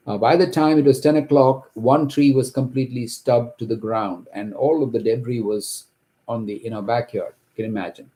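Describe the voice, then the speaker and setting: A middle-aged man from Kerala, India, who grew up speaking Malayalam, gives an earnest, halting account of his own story from his home office. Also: on the low side, warm tone, rough recording. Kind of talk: personal testimony to camera.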